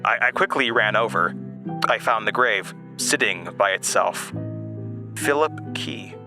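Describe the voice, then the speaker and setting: A young man acting as a haunted narrator in an audio drama, recalling his childhood in a halting, reflective monologue. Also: on the low side, lively intonation. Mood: wistful, reflective. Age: young adult. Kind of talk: dramatic first-person monologue, reminiscing. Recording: music bed under voice. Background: music.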